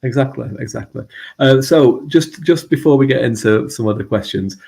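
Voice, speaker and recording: A man's voice: medium pitch, moderate pace, vocal fry, dark tone. A man with a strong Mancunian accent hosting a casual live-stream chat, talking in a rambling way with many fillers and restarts. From England and Ireland. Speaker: host.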